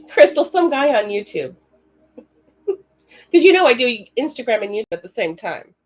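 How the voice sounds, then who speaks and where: Raspy-voiced woman doing live readings. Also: fairly high pitch, very animated, normal speed, low-quality recording. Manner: chatty, talks to viewers in the chat. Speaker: psychic reader. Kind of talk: live-stream card reading.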